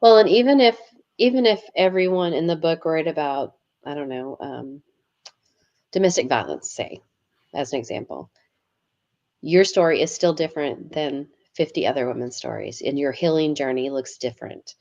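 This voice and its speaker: A woman's voice: low voice, lively intonation, moderate pace, a little mellow, dull audio. An earnest woman guest talking about her experiences, often repeating words as she starts a phrase. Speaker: guest, yoga teacher and author. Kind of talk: casual interview conversation.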